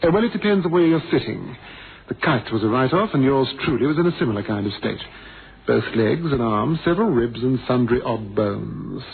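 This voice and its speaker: Radio play role: arch, bitterly witty blind ex-pilot, theatrical, on a thin, noisy old broadcast. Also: male voice, mid-range voice, expressive, fairly quick, vocal fry, narrow, tinny audio. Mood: ironic. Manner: wry, arch, wordy and ironic. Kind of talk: radio drama acting.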